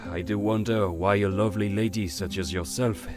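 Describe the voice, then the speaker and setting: A man playing a suave, silver-tongued gentleman con artist with a flaming head in an audio drama, courtly and sprinkling in French phrases. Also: on the low side, steady tone, moderate pace, music in the background, lightly acted. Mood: courteous, charming.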